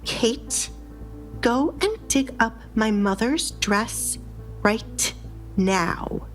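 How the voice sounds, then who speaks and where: A woman's voice: a little high, animated, extremely slow, faint music behind, voice pushed up. Woman acting a seething, vengeful young noblewoman. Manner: speaks through gritted teeth. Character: wronged young noblewoman, vengeful, cold and defiant.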